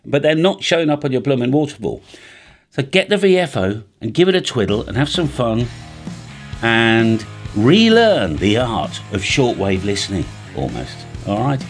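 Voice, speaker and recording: A man's voice: mid-range voice, normal speed, soft background music. An older British man around sixty hosting a video, chatting casually and animatedly to camera and often tagging his phrases with little check-in questions. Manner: chatty, animated, tags phrases with "right?" and "all right?". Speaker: amateur radio video host. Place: small home music studio, indoors.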